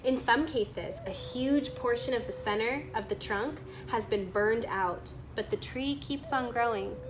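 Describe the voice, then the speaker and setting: Slow-paced woman reading a picture book aloud. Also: fairly high pitch, background noise. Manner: pauses to remark on the illustrations. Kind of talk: read-aloud with spontaneous comments on pictures.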